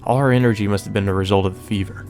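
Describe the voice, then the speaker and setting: A man's voice: on the low side, fairly even intonation, rapid-fire, a little mellow. A man hosting a let's play, reading a visual novel aloud and adding casual commentary. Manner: casual, profane asides. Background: music.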